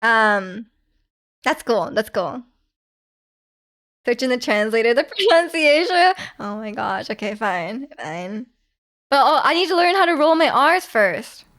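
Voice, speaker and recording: A woman's voice: on the high side, animated, a little slow, long pauses, a bit bright. A young woman, chatting casually on a live stream, giggling as she answers her chat.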